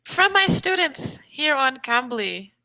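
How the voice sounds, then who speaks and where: Bright-voiced woman tutor on a video call. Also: high-pitched, very animated, slow pace, low-quality recording. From the Bahamas. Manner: friendly, chatty, laughs often. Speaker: online English tutor. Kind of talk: casual video-call chat.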